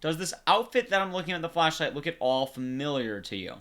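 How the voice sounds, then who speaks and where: A man's voice: on the high side, expressive, brisk, a bit bright. A man giving casual live gameplay commentary in a conversational way, explaining things to his audience. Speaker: streamer and commentator.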